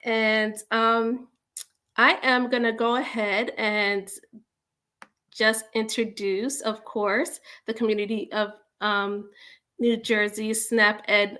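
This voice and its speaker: A woman in her forties hosting a live online community session, speaking with many ums and pauses. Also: medium pitch. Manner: warm, hesitant with many ums. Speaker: host. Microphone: video call.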